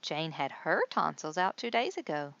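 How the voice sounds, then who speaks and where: A woman's voice: sits low, very animated, normal speed, creaky, fairly bright tone, dry recording, exaggerated voice. Kindly adult nurse, played by a woman reader, storybook guide, patient, reassuring, very varied intonation, slightly dull recording. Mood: friendly, upbeat.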